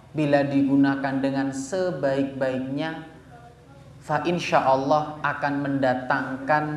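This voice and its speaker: A man with a Javanese Indonesian accent preaching to a gathering, addressing listeners directly with rhetorical questions. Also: medium pitch. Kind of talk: religious sermon to a gathering. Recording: echoey space, faint background noise.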